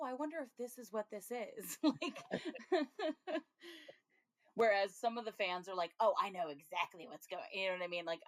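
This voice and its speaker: A woman on a TV review podcast, talking in a loose, chatty way and breaking into laughs. Her voice sits at an ordinary pitch and sounds close and dry, with no room around it. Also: dry recording. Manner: chatty, laughs often, acts out quoted reactions. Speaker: podcast co-host. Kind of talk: casual TV review chat.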